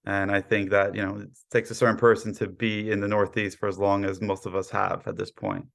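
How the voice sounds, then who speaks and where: American male podcast guest, thirties, low gravelly voice, modest conversational explainer. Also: fairly quick, fairly bright tone. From Brooklyn, New York, born in Poland. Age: 30s. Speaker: podcast guest, cyber insurance professional. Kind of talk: conversational interview answers.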